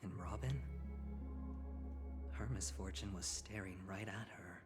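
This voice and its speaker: A man playing a sly, smooth-talking schemer in a video game, deliberate and insinuating in manner. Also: low voice, fairly even intonation. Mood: ominous, insinuating. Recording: game audio with music underneath.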